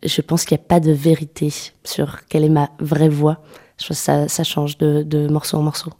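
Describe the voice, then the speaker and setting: A young woman singer-songwriter answering interview questions, speaking softly with many hesitations and restarts. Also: low-pitched, completely flat delivery, moderate pace. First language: French. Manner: soft-spoken, hesitant, many fillers and restarts. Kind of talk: radio interview conversation.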